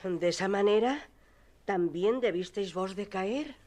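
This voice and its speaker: Actress as slow-spoken, probing innkeeper's wife. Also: female voice, medium pitch, dark tone, natural voice. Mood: inquisitive. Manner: measured, questioning. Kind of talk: radio theatre acting.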